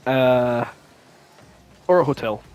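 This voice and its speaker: A man chats casually as a podcast co-host, in a middling-pitched voice. He rambles and swears freely, thinking out loud in an animated way. Music plays behind him. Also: slow pace, clear, resonant tone, poor audio. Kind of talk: casual chat.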